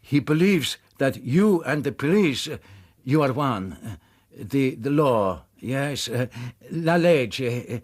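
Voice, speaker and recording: An actor playing an anxious, humble, pleading Italian father in a radio drama, with an Italian accent and halting English. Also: medium pitch, lively intonation. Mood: hesitant, troubled. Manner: halting, searching for English words.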